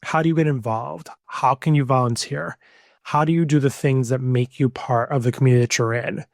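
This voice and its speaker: A man's voice: mid-range voice, steady tone, brisk, creaky, dry recording, studio quality. A young adult man, a native Spanish speaker, hosting a podcast alone and stressing his points earnestly with frequent repetition. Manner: earnest, emphatic, repeats key points. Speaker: podcast host. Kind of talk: solo podcast monologue.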